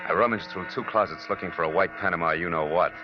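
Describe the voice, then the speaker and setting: An actor playing a wry, tough, wisecracking private detective in a 1940s radio noir drama. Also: male voice, deep voice, fast talker, natural voice. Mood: wry, tense narration. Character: wry, hardboiled male private detective narrating his case. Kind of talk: 1940s radio noir scripted narration and acting. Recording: dull lo-fi 1940s radio recording, music.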